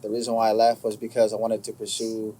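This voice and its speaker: A man from Hawaii answering interview questions in a relaxed, plain-spoken way. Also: fairly low pitch, quick pace.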